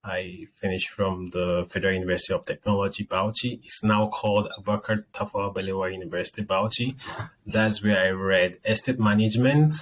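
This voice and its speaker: Nigerian guy being interviewed, lowish voice, explains stuff, bit of background noise. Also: steady tone, brisk. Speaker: interview guest. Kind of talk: casual interview answers.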